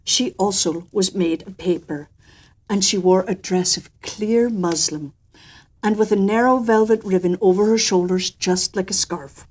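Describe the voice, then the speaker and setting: A woman reading a children's story aloud in an expressive, theatrical storytelling manner, with emphatic swells and softer drops. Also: medium pitch, unhurried. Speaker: storyteller and host. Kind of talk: expressive storybook narration with an in-character personal anecdote.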